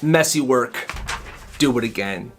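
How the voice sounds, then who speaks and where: A man's voice: mid-range voice, measured pace, noisy background, natural voice. A young American man voicing a stern, strict mother in curt commands for a comic social media story. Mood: stern, withholding. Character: stern, strict mother policing her child.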